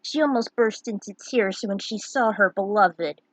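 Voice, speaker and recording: A woman's voice: medium pitch, a little slow, dry recording, rough recording. A woman reading a story aloud as narrator, in a dramatic storytelling style. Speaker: fan fiction reader, narrator.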